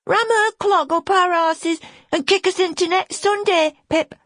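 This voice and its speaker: A woman's voice: very high voice, a little slow, fairly bright tone, dry recording, clean studio sound, voice pushed up. A woman voicing a tiny, hungry little boy in an audiobook, tearful and pleading in childish broken speech. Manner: whimpering, childish broken grammar. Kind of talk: audiobook character acting.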